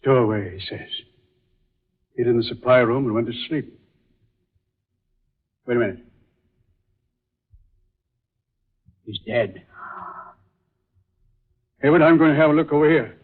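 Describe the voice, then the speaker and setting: Guy playing solemn dramatic radio drama man, theatrical and deliberate, muffled old recording, bit breathy. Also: mid-range voice, lightly acted. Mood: grave, wondering. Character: solemn male film star, grave and dramatic.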